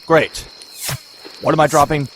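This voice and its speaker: An actor playing a wisecracking young vigilante antihero in a motion comic, with sarcastic, exasperated banter. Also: male voice, on the high side, steady tone, a little slow, fairly bright tone, slight background noise, rough recording. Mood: cocky.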